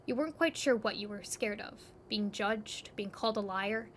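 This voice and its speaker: A woman's voice: mid-range voice, normal speed, a little hiss or hum. A woman reading scripted second-person narration for an audio drama, over ambient sound.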